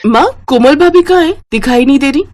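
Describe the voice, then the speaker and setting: A woman's voice: high-pitched, brisk, a little mellow, a little hiss or hum, lightly acted. A woman voicing a caring, anxious young sister-in-law in a cartoon story, pleading and concerned. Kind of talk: Hindi cartoon story voice acting.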